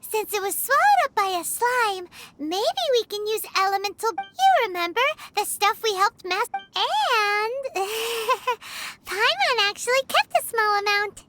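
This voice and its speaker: A woman voicing a tiny, excitable, boastful fairy girl in a video game, with a squeaky childlike voice. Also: measured pace, over music, heavily acted. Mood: eager, boastful. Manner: refers to self by name, exclaiming.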